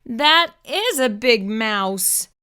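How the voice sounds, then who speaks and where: A woman reads a picture book aloud for children in a high, bright voice. Her pitch moves in a lively way as she talks straight to her young viewers, often with questions. She is expressive throughout, as a storytime host. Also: extremely slow, clean tone.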